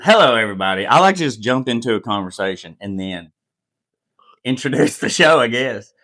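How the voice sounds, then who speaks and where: A man's voice: medium pitch, animated, brisk, bright, forceful tone. A man hosting a podcast in a casual chat, easygoing and rambling with loose asides. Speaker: podcast host.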